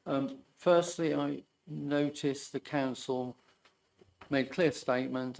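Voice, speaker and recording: Man, measured, deferential, formal hearing statement, gravelly voice. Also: mid-range voice, slight background noise, low-quality recording. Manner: measured, deferential, addresses the chair as sir. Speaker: hearing participant.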